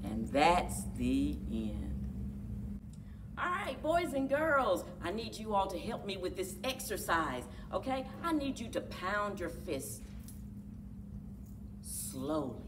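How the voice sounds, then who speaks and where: Very animated woman reading to children, unhurried. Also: mid-range voice, far from the mic, background noise. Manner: exaggerated, drawn-out storyteller delivery for young children. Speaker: children's librarian, story time host. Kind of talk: expressive picture-book read-aloud and leading action activity for children. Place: indoors, children's library room.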